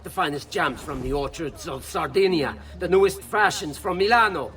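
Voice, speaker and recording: An actor playing a sly, nervous government official in a video game, smug and then defensive. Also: male voice, on the high side, animated, normal speed, fairly bright tone. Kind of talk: video game cutscene acting.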